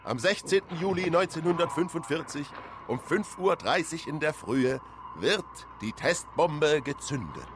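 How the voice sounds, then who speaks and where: Ein Mann erzählt dramatisch und nach Manuskript als Erzähler eines deutschen Hörspiels. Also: male voice, a little high, animated, measured pace. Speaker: narrator. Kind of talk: dramatic scripted radio narration. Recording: ambient noise behind.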